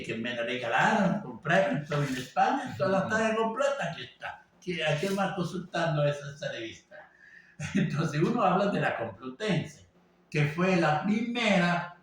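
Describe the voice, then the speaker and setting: A man from Venezuela gives an informal class in a rambling, halting way, full of fillers and tag questions. His voice is slightly high for a man. It is recorded from a distance in a very echoey room with faint background noise. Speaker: teacher. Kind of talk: informal lecture.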